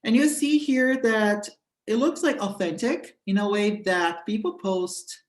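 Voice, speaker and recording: A speaker whose first language is Portuguese walks through an app step by step, explaining in a halting way with frequent fillers. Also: mid-range voice. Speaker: presenter demonstrating a language-exchange app. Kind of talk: screen walkthrough tutorial. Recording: roomy echo.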